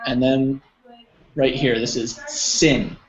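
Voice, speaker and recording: A man's voice: medium pitch, fairly even intonation, slow pace. An American man teaching an online English pronunciation class, using simple wording and checking with his learners. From United States, New Jersey. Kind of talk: live online group pronunciation lesson. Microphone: video call, close to computer. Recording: dull lo-fi online call, clear noise.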